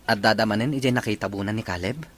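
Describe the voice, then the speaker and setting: A man's voice: on the low side, quick pace, a bit bright, faint music behind, natural voice. A man playing a dutiful young Ilocano husband and father in a family audio drama. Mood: respectful, curious.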